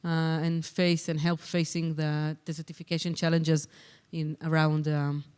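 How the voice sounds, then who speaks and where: A conference session convener speaks into a handheld microphone. The voice is smooth and clear at a mid pitch. The delivery is measured, with many ums and uhs between phrases. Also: flat delivery, a little mellow. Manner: measured, with frequent um and uh. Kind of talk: conference moderation and answers. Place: conference hall.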